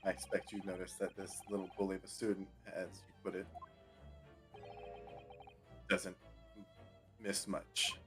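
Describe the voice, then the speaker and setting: A man reading courtroom game dialogue aloud in a measured, formal way, with the odd stumble, playing with friends. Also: sits low, flat delivery, over music.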